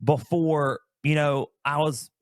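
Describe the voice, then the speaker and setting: A man's voice: fairly high pitch, steady tone, takes it slowly, dry recording, clean studio sound. A man in his early twenties talking solo as a sports podcast host, conversational and storytelling, repeating phrases for emphasis.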